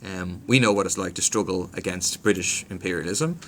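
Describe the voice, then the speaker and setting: Irish man, lowish and bright, faint hiss; earnest livestream Q&A host reading comments. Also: a little fast. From Ireland. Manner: reads comments aloud, frequent ums, earnest and persuasive. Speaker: politician answering viewer questions. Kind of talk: casual livestream Q&A.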